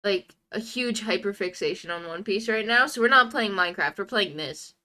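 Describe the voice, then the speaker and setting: A woman's voice: mid-range voice, moderate pace, sharp tone. A young woman with a congested nose chatting casually on a game stream, drifting into muttered asides to herself. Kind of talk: casual live stream chat while playing a game.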